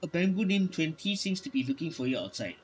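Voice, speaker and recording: Higher-pitched man reading game text haltingly. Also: sing-song, slightly rough. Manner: halting, stumbles over words when reading. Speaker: gaming streamer. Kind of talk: reading game dialogue aloud during a playthrough.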